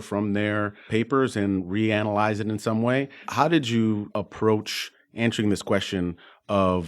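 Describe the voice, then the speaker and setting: A man's voice: on the low side, no echo, studio quality. A man hosting a medical podcast, introducing and questioning guests in an interview style. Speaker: podcast host.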